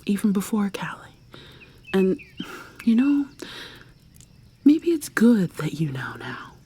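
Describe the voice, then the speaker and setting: A woman playing an obsessive, coldly possessive killer in an intimate ASMR roleplay, soft and often whispery, with a deliberate, mock-sweet, threatening manner. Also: medium pitch, very animated, breathy edge, heavily acted. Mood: taunting menace. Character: obsessive, menacing female serial killer lover, coldly possessive.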